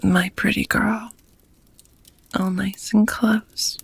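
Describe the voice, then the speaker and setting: A woman playing an affectionate, languid female vampire lover in an ASMR romantic roleplay, speaking softly in breathy whispers with slightly formal phrasing, close to the microphone. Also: mid-range voice, little pitch movement, slow pace, exaggerated voice. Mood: tender, adoring. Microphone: close microphone, dry.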